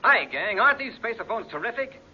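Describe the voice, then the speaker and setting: An actor playing a friendly space commander pitching toys in a 1950s radio ad, through a telephone-like filter. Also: male voice, expressive, fairly quick, sharp tone, slightly rough, heavily acted. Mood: cheerful. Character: friendly male space commander promoting toy phones.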